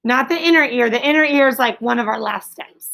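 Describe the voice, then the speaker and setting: High-voiced, creaky female schoolteacher. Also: fairly quick, a bit bright, rough recording. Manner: encouraging, simple explanatory phrasing with leading questions. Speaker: schoolteacher leading a class. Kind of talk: interactive classroom lesson, questioning pupils.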